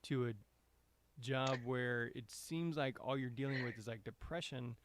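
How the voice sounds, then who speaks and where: A man's voice: medium pitch, measured pace, background noise. A male host guides a podcast interview in a casual way. He phrases his questions loosely and conversationally, handing the floor from one guest to the other.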